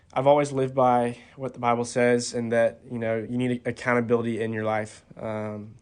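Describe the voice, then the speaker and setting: A young man in his twenties talks casually in an interview. His voice stays flat and level with little rise and fall. He pauses often with soft ums and restarts his sentences. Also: medium pitch. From Texas. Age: 20s. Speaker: college golfer, podcast guest.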